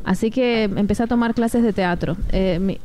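A woman's voice: mid-range voice, moderate pace, mellow, a little hiss or hum. A woman, chatting as a radio guest, talking freely with fillers and restarts. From Argentina. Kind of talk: casual radio interview.

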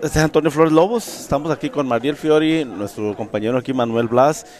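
Male radio host, mid-range voice, calm and explanatory, live on location. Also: mid-range voice. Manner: measured, explanatory, adds context for listeners. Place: live broadcast from a church event.

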